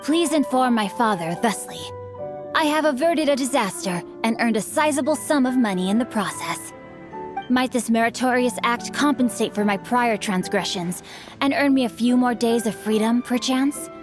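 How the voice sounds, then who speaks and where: A refined teenage noble boy voiced by a woman speaks in a fairly high voice with ornate, courtly phrasing. He is bookish and slyly teasing, a game character heard over music. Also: lively intonation, moderate pace, lightly acted. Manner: ornate, courtly, archaic wording. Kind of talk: English video game voice acting.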